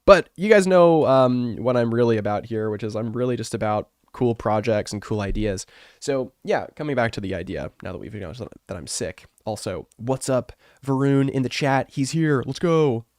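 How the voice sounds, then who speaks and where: A man getting over a cold, with a rough, congested voice, chatting casually and rambling with many fillers as an artist streaming to his viewers. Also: medium pitch, expressive, normal speed, a little mellow, no room sound, studio quality. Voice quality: rough, wrecked voice. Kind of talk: casual live-stream chat.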